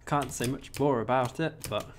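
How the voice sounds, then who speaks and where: A man's voice: medium pitch, normal speed, slight background noise. A young English man chatting casually on a gaming livestream, rambling about his week and answering viewers. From England. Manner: chatty, rambling, reads chat aloud. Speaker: streamer.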